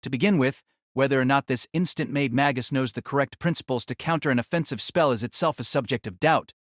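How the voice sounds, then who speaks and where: A man's voice: mid-range voice, fast, no room sound, studio quality. A man reading scripted novel narration for an audio drama.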